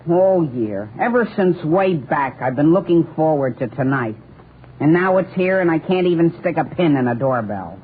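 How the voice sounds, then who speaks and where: On a tinny, hissy old radio recording, a man plays a whiny, eager teenage boy. The voice is high and swings dramatically up and down, full of plaintive exasperation in old radio comedy style. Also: expressive, normal speed, lightly acted. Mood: exasperated pleading. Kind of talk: 1940s radio comedy acting.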